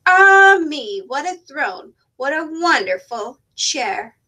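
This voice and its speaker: A woman's voice: high-pitched, big pitch swings, slow, pushed and bright, exaggerated voice. A woman voicing a boastful, bossy turtle king in a children's storybook, exclaiming pompously and giving commands. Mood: exultant. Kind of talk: children's storybook read-aloud.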